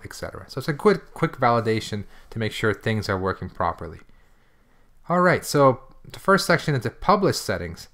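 Man, medium pitch, casual tutorial narration, informal, step-by-step explaining, software demonstrator. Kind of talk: casual screencast tutorial walkthrough.

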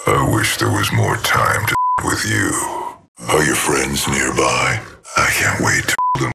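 Game role: sadistic killer robot, extremely low growl, slow taunts, heavy noise on the clips. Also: male voice, lively intonation, heavily acted. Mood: sinister relish. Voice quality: gravelly, growling. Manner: sinister, taunting, drawn-out delivery. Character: menacing, sadistic robot assassin, male, from a shooter game. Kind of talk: video game voice lines.

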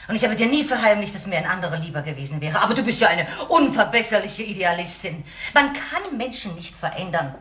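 A woman's voice: mid-range voice, lively intonation, quick pace, hardly any pauses, fairly bright tone, echoey room, natural voice. An older actress playing a skeptical, disapproving mother in a crime radio drama, stern and reproachful. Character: disapproving, skeptical elderly mother of a grown daughter.

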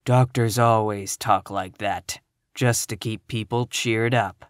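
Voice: mid-range voice, slow pace, smooth, no echo, clean studio sound, natural voice. A narrator voicing a grim, sour, scornful spinster in her fifties from a storybook, full of gloom and contempt. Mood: gloomy, retorting. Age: 50s. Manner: grim, scornful, flat pronouncements.

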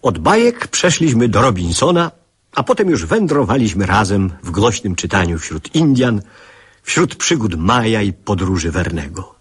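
An actor playing a wistful grown man who narrates memories of his boyhood in a measured, reflective radio drama style. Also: on the low side, big pitch swings, husky, heavily acted.

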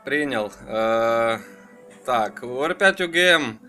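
A man's voice: mid-range voice, expressive, slow, bright, forceful tone, faint music behind. A man chatting casually as a radio operator, in friendly colloquial language and clipped radio phrases. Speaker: amateur radio operator running an antenna test. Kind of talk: casual ham radio chat.

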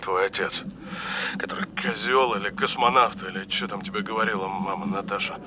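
An actor playing an ailing, regretful rock musician father, halting and urgent, in an audio drama. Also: lowish voice, fairly even intonation, background noise, close to an everyday voice.

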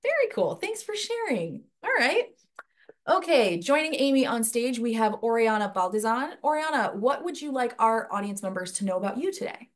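A woman's voice: a little high, sing-song, normal speed. Young adult woman, headset mic at home, chatty webinar host who rambles a bit. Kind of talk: webinar panel hosting.

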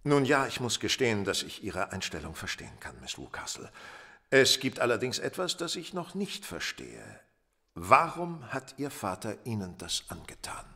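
Victorian detective, deliberate, courteous, crisp forceful edge, expressive, radio play. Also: male voice, on the low side, exaggerated voice. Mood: courteous, thoughtful. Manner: precise, deliberate, authoritative. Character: brilliant, composed, courteous male Victorian detective. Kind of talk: German audio drama acting.

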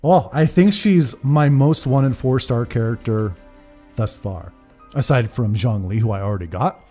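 A man's voice: medium pitch, unhurried, warm tone, soft background music. A man, giving casual, enthusiastic, sweary commentary as a reaction video host. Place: small room at a desk, indoors. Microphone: large desk microphone, close.

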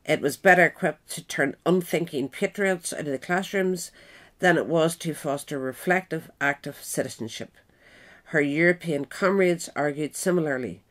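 A woman narrating a written biographical essay in a measured, formal way. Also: low-pitched. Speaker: narrator, reader.

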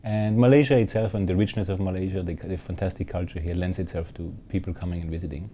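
A man's voice: deep voice, steady tone, quick pace, warm tone, slight background noise. A man from Austria in his fifties, a hotel general manager giving upbeat, fluent interview answers in a hotel lounge.

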